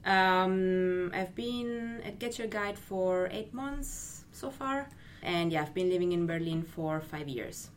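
A woman's voice: fairly low pitch, a little hiss or hum. A woman from Italy, a creative recruiter, speaking thoughtfully in a casual interview. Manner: thoughtful, measured, with fillers.

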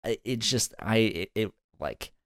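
A man's voice: lowish voice, expressive, a little slow, no echo, studio quality. A man co-hosting a review podcast in casual conversation, rambling and often repeating words as he goes. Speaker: podcast co-host.

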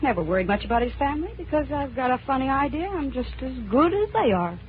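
A woman's voice: high voice, sing-song, quick pace, a little hiss or hum. Kitty, wistful young woman, vintage radio. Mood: wistful, reflective. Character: Kitty, wistful working-class young woman in love with her boss. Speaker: actress narrating and playing lead. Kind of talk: 1940s radio drama acting. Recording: tinny vintage radio broadcast, music behind.

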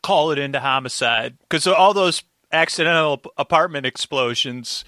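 A man chatting casually as a podcast guest, halting and often interrupting himself, close on the microphone. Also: fairly high pitch, pushed and bright, dry recording. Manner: rambling, halting, self-interrupting. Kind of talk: casual recap podcast chat. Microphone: close, dry podcast microphone.

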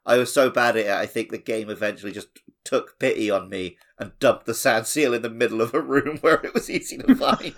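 A man's voice: mid-range voice, fairly quick, fairly bright tone. A man co-hosting a podcast in casual chat, telling anecdotes in a jokey, self-deprecating way. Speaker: podcast co-host.

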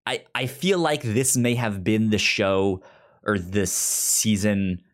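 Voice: mid-range voice, slow pace. A podcast co-host talking casually about a TV season, often stammering and repeating words while finding his point. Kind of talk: casual TV recap chat.

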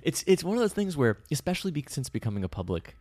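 A man's voice: a little high, very animated, fast talker, darkish tone, no room sound. A man co-hosting a casual talk show, a public speaker who reflects aloud and often restarts his sentences while thinking. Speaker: podcast co-host and public speaker. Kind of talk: casual conversational chat.

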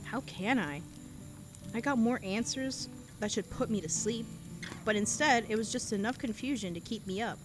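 A woman's voice: on the high side, normal speed, close to an everyday voice. A woman voicing a wistful teen girl over music. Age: teens. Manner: wistful, introspective diary-style monologue. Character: reflective teenage girl on the run. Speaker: narrator and lead character. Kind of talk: audio drama acting.

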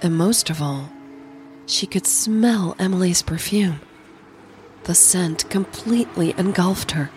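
A woman narrates in a slightly low register at a markedly slow pace. Her delivery is that of an intimate, suspenseful podcast storyteller. Faint music runs behind her. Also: a little mellow. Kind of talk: dramatic audio story narration and podcast chat.

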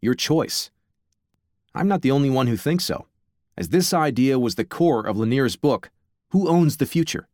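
A man's voice: mid-range voice, animated, moderate pace, a little mellow, dry recording, clean studio sound. A man reading aloud from his own book on a podcast, with wry conversational asides.

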